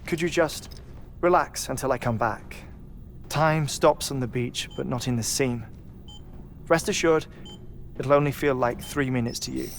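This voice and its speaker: Unhurried, gravelly eccentric, quietly melancholy. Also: male voice, medium pitch, a little hiss or hum. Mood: polite, calm. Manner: hesitant, stammering, formal wordy speech. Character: eccentric, melancholy middle-aged man living in twenty-one-minute cycles. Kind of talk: video game cutscene acting.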